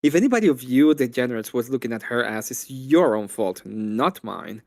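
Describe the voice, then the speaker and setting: A man's voice: mid-range voice, expressive, normal speed, creaky, a little mellow, dry recording. Defensive man in casual banter, dry sound. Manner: defensive, protesting. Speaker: friend on stream. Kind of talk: casual chat.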